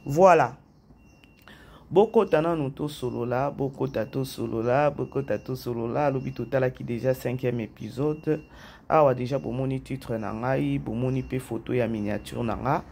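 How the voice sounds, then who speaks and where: Gravelly man with a head cold. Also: medium pitch, a little mellow, a little hiss or hum. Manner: chatty, repeats phrases, addresses viewers directly. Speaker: YouTube channel host. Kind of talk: casual commentary vlog, mixing French and Lingala.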